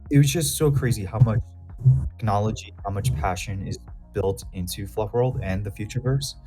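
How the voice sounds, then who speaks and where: A man's voice: fairly low pitch, normal speed, music in the background. A man hosting an interview on a voice call, upbeat and chatty, with hesitant fillers. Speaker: host.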